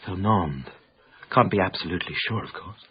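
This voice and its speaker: A man's voice: sits low, lively intonation, a little fast, a little hiss or hum. A man playing a devious, learned art expert, speaking in savouring, clipped fragments in a radio drama. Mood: absorbed, sly. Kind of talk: naturalistic radio drama acting. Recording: dull, muffled radio broadcast.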